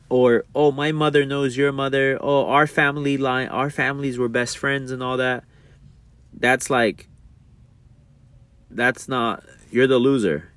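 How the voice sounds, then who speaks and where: A young man talking casually to his viewers about marriage and faith, reading a passage aloud and breaking into slangy, animated asides. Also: mid-range voice. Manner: animated, rises sharply when worked up. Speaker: streamer talking about religion and relationships. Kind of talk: casual monologue.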